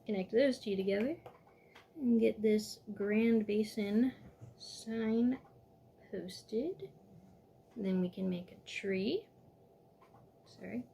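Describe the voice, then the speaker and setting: A woman walking viewers step by step through a toy building set in a calm, instructive way, a little hoarse from losing her voice. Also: mid-range voice, takes it slowly, pauses often, a little hiss or hum.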